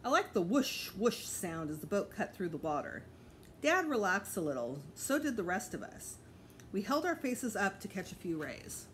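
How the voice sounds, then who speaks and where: A middle-aged woman reads a children's book aloud in a medium-pitched voice. Her delivery is very animated, with the odd small slip that she corrects as she goes. It is a home recording with noticeable background noise. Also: normal speed. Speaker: teacher reading aloud to pupils. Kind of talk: expressive children's storybook read-aloud.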